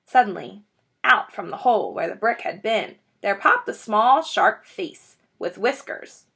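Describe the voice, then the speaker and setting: A woman reading a children's book aloud as an expressive storyteller. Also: mid-range voice, measured pace, vocal fry, breathy edge. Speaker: story reader.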